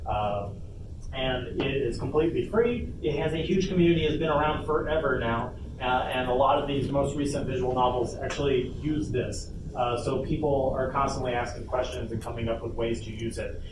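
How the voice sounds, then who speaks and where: A man presents an informal workshop in a casual, somewhat hesitant way with playful asides. His mid-pitched voice is picked up from a distance in an echoing room, with clear background noise. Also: normal speed. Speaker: workshop presenter on a convention panel. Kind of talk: informal instructional presentation to an audience. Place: convention panel room. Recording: noisy, echoey room, distant mic.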